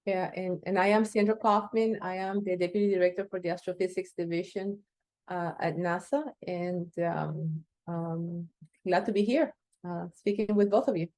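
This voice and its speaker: A woman in her fifties from Costa Rica, speaking English with a Costa Rican accent as an interview guest, answering haltingly with many fillers and restarts. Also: lowish voice, steady tone, darkish tone, no room sound. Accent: Costa Rican Spanish. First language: Spanish. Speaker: interview guest, space agency executive.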